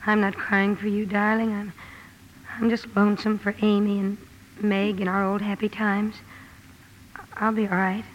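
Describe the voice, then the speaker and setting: A woman's voice: medium pitch, flat delivery, dull audio, natural voice. An actress playing a spirited, tender young woman writer in a 1950s radio drama, with a heartfelt, earnest delivery. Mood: tender, resigned. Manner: heartfelt, earnest, halting when moved. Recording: slightly noisy old radio broadcast.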